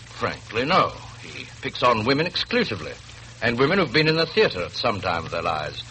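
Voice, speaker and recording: Casting: businesslike detective inspector, courteous, animated delivery, old radio drama with background hiss. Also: male voice, medium pitch, brisk. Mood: earnest. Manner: courteous, formal, crisp. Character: polite, businesslike Scotland Yard police inspector. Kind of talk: 1940s radio drama acting. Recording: noisy 1940s radio recording.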